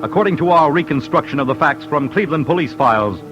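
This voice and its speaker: A man's voice: a little high, animated, quick pace, highs cut off. A man narrating an old-time radio crime show in a punchy, clipped, suspenseful way. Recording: old radio broadcast with music bed.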